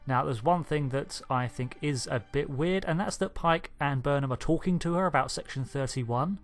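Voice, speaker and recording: Nasal croaky man with a sore throat. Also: on the high side, moderate pace, faint music behind. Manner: chatty, thinks aloud with asides and self-corrections. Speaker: video host reviewing a trailer. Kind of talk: unscripted casual commentary.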